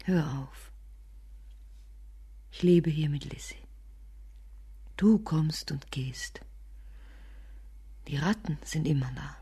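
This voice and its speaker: Radio play lead: lonely, anxious woman, dark low murmur, whispered fragments, very dry sound. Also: no echo, heavily acted. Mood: anxious, pleading. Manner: breathy whispering, halting fragments. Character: lonely, anxious, bitter woman in bleak slum. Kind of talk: literary radio drama monologue and dialogue.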